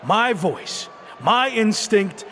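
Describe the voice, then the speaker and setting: Casting: aggrieved rookie wrestler, slow deliberate promo delivery, very animated, defiant, game cutscene, faint noise behind. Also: male voice, high voice, heavily acted. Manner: defiant, emphatic, drawn-out delivery. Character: brash, aggrieved male rookie pro wrestler with big ego. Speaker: wrestler character. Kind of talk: in-ring wrestling promo, video game acting.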